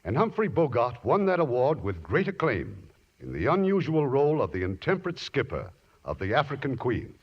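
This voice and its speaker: A man's voice: mid-range voice, wildly swooping pitch, normal speed, slightly rough. A man hosting a 1950s radio theater show, reading a scripted introduction as its producer. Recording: muffled vintage radio broadcast.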